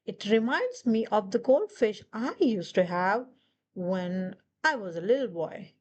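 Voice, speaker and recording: A woman's voice: mid-range voice, lively intonation, measured pace, darkish tone. A woman voicing a jolly, friendly male hiker in a children's story, with hearty, polite exclamations.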